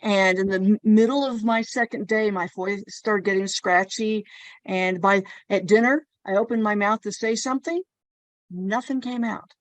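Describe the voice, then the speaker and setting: A woman's voice: mid-range voice, fairly even intonation, normal speed, no room sound. A woman hosting a casual conversation, telling personal anecdotes in a chatty way. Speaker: podcast host.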